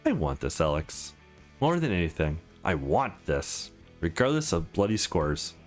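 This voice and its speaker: A man's voice: low-pitched, very animated, a little slow, soft background music, pitched below the performer's own voice, heavily acted. A man voicing a flirtatious, conflicted married woman in a visual novel, frank, teasing and confessional. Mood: nervous, yearning.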